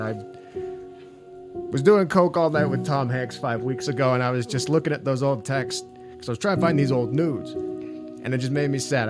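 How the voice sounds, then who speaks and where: A man's voice: medium pitch, lightly acted. Brief: man as a careless hungover rich dad, rambling and cursing, over background music. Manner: swearing, rambling, flustered. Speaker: caller character. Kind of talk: improvised comedy phone call.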